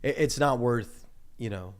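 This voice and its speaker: A man's voice: mid-range voice, a little slow. 20yo guy hosting a chill studio podcast, rambly, lots of likes and um. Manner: loose, rambling, many fillers and restarts. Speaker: podcast host. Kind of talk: casual chat. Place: small college podcast studio. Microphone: studio mic on boom arm.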